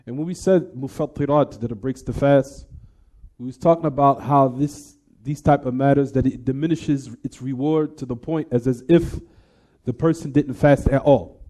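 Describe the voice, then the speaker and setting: A man's voice: mid-range voice, steady tone, moderate pace, dark tone. A man teaching religion in an Islamic lecture, explaining point by point with repetition and weaving Arabic terms and formulas into his speech. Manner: didactic, repeats and restates points.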